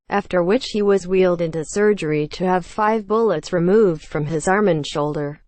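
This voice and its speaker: A woman reading a scripted news feature aloud in a measured, formal way. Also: fairly low pitch, a little mellow, no room sound. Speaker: news article reader.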